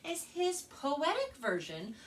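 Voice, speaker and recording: An American woman working as a children's librarian, telling stories and presenting to kids in an expressive, carefully spoken way. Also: sits high, takes it slowly. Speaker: children's librarian and storytime host. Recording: distant mic with faint background noise.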